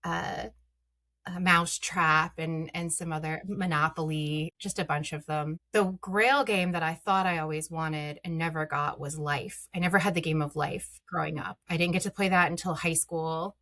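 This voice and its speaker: Bright, chatty middle-aged woman guest. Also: low voice, fairly even intonation, moderate pace. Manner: chatty, many "um" and "uh" fillers. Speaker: podcast guest, content creator. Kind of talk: casual podcast interview.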